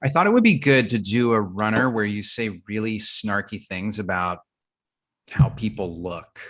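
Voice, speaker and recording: A woman playing an awkward, earnest young comedy writer, tentative and deadpan, in a comedy table read. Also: extremely low pitch, normal speed. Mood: tentative pitching.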